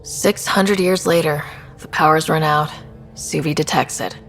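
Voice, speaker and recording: A woman's voice: low-pitched, fairly even intonation, unhurried, a bit bright, faint music behind, poor audio. An actress playing a young, wry woman who leads a starship crew in a video game, speaking conversationally. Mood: matter-of-fact. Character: young woman space explorer, wry, steady ship leader.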